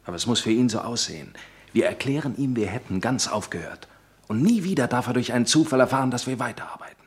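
An actor playing a cautious resistance leader in a radio play, speaking firmly and with measured words. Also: male voice, medium pitch, expressive, natural voice. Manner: firm and measured.

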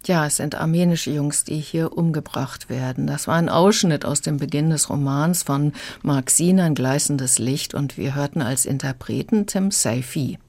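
A woman's voice: low-pitched, normal speed, few pauses, darkish tone, no echo. A woman in the role of book reviewer, explaining a story in a radio discussion. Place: dry radio studio.

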